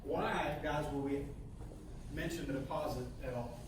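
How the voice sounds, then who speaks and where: A man leading a sales training session, halting and repeating words, often ending points with "okay?". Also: a little high. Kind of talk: coaching a training session with role-play. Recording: echoey room, mic far off, faint hiss.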